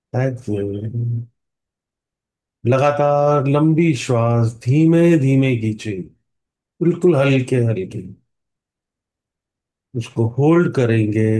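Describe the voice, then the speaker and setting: An Indian man guides a meditation in a mellow, relaxed voice. He speaks extremely slowly at a medium pitch, in short, calming phrases with long pauses between them. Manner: slow, hushed calming delivery with long pauses.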